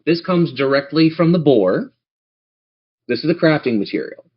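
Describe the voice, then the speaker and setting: A man's voice: a little high, animated, moderate pace, slightly rough. Lo-fi sound, male game master, casual narration, improvised descriptions. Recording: dull lo-fi band-limited audio.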